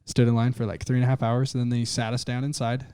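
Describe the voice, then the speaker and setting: A young man from Utah chats on a podcast, telling a long, rambling story with plenty of swearing. His voice sits mellow and dark in tone. The sound is dry and close to the mic. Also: mid-range voice, flat delivery, quick pace, smooth, no echo. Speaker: guest singer-songwriter. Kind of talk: casual podcast chat.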